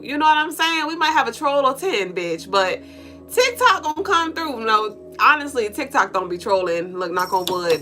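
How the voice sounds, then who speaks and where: A congested woman with a nasal, stuffed-up voice chats on her livestream, lively and playful, cursing freely as she greets viewers. Her voice sits a little high and sounds bright and sharp. Soft music plays faintly behind her. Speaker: livestream co-host. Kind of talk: casual livestream chat with viewers.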